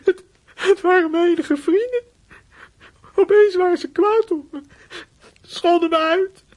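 An actor playing a frightened, defensive outcast boy in a radio play, speaking in a halting, stammering, emotional way. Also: extremely high pitch. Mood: tearful, upset.

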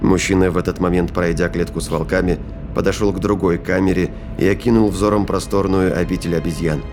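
A male narrator with a low voice reads scripted science fiction prose. Music runs clearly underneath his narration. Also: fairly even intonation, fairly quick.

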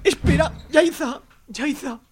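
A woman's voice: sits high, takes it slowly, heavy background noise. A woman playing a drunk, lovesick, rowdy hippie young man with slurred, whiny delivery in a radio soap. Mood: lovesick, pleading. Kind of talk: amateur radio soap acting.

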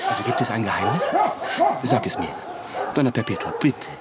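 An actor playing an impatient, insistent young bridegroom in love in a radio play, urgent and direct. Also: male voice, on the low side, lively intonation, fairly quick, a loud crowd, very noisy, lightly acted. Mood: pleading, probing. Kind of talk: German radio play acting.

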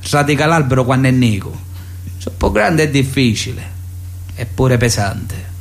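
A man's voice: mid-range voice, normal speed, vocal fry, close to an everyday voice. An actor playing a paternal Sicilian mafia man in a radio drama, speaking solemnly and piously, with veiled threats under polite words and a Sicilian accent. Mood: solemn, veiled menace. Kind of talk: radio theatre dramatic monologue. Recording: music behind the voice.

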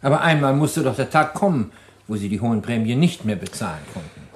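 An actor playing a skeptical prosecutor who questions a suspect in an old radio play, probing and ironic. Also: male voice, medium pitch, very animated, quick pace, slight background noise, close to an everyday voice. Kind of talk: 1950s radio drama acting.

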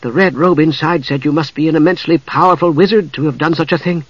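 Man putting on a high-voiced, spirited young woman. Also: breathy edge, no echo, highs cut off. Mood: urgent, pleading. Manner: emotional, quick to flare.

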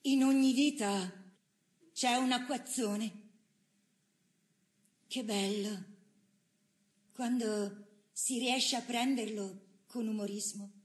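A slow, calm, dignified woman prisoner. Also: a little high. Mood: wry, reflective. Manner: measured, incredulous, wry. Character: calm, ironic, dignified female political prisoner. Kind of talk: stage drama acting.

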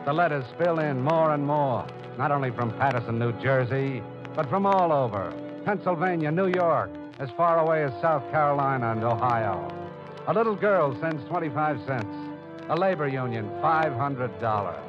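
An actor playing an earnest radio drama narrator, speaking gravely and deliberately in a theatrical style. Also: male voice, mid-range voice, expressive, close to an everyday voice. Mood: building excitement. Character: earnest dramatic male narrator addressing the heroine as you. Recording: dull old radio recording, music bed.

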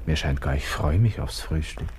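Deep, brooding young Viennese lieutenant. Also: male voice, normal speed. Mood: wry, resigned. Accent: Austrian, Viennese. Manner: musing to himself, murmured, wry. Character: melancholy, cynical young Austrian army lieutenant facing death. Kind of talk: 1960s radio play interior monologue. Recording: hissy old radio play recording.

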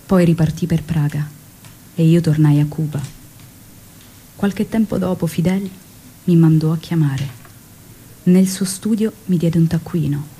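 An actress playing a revolutionary's devoted wife, wistful and loving, in an intimate stage monologue with hushed phrase endings. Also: female voice, fairly low pitch, breathy edge, rough recording.

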